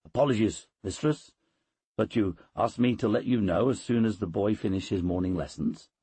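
A man's voice: fairly low pitch, moderate pace, dark tone, breathy edge, dry recording. A man voicing a deferential, anxious middle-aged tutor in an audiobook, polite and cautious. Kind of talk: audiobook character dialogue.

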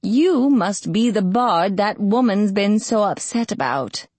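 A woman's voice: medium pitch, expressive, slow, darkish tone, breathy edge, dry recording, voice pushed up. A woman voicing a very old, brusque woman healer with a quavering voice, teasing and bossy, in an audiobook. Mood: cackling, teasing. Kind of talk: audiobook character voice.

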